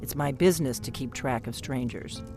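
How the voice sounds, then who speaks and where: An actor playing a gruff male village innkeeper in a video game, blunt and suspicious of strangers. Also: mid-range voice, normal speed, lightly acted. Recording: game audio over loud music.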